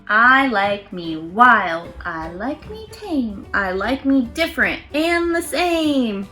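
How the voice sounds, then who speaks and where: High, animated woman reading to children. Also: slow, reverberant, far from the mic, soft background music. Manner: encouraging, expressive. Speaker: storytime host reading picture books. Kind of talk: animated read-aloud to children.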